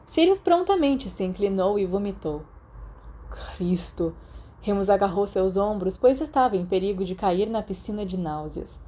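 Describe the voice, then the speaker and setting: A woman's voice: medium pitch, expressive, moderate pace, hardly any pauses, mellow. A woman with a Brazilian accent reading scripted story narration, as in an audiobook. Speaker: audiobook narrator of a fan fiction podcast.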